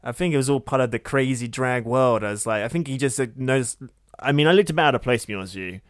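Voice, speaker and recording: A man's voice: medium pitch, fast talker, no echo. A young man with a mid-range voice tells a rambling, self-deprecating anecdote and keeps breaking into laughter. His voice is dry and close, with no room sound around it. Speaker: podcast co-host. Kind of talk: casual chat.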